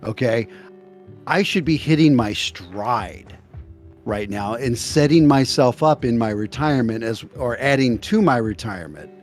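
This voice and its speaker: A man's voice: mid-range voice, lively intonation, unhurried, soft background music. Earnest man in his fifties. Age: 50s. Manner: earnest, checks in with "okay?" and "right?". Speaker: livestream co-host. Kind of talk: casual chat.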